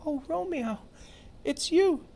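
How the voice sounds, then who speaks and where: A sweet young female dog, devoted and soft-spoken, gushing her words in a raised, lilting voice, as a man reads her in a storybook. The voice sits well above the reader's own. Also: very high voice, expressive, takes it slowly, vocal fry, slight background noise, pitched above the performer's own voice, full character voice. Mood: tender.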